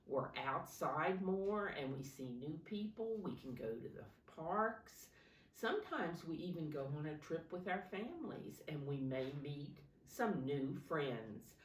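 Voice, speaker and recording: Slow, animated woman reading to preschoolers. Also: lowish voice. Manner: explanatory, asking the children questions, simple words. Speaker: preschool teacher reading aloud. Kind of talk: read-aloud storytelling for young children. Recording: echoey room, slightly distant microphone.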